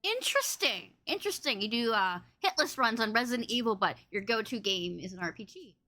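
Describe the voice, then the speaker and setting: Bright, boomy, animated woman podcast host. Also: fairly quick. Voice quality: loud, boomy voice. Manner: effusive, playful, rambling. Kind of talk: casual, chatty livestream podcast interview.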